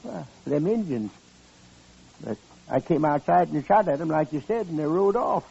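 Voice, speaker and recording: A naive, anxious American sodbuster, with a highish, gravelly and husky voice. He talks in an earnest, halting, folksy way on a muffled old radio western recording. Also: expressive, mellow, heavily acted. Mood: earnest, uneasy. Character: naive, anxious newcomer homesteader in the Old West.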